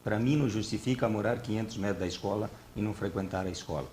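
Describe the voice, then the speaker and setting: A man's voice: fairly low pitch, rapid-fire, a little mellow, roomy sound, slight background noise. A man who is a police colonel, speaking earnestly as a guest on a studio panel debate and arguing through rhetorical questions.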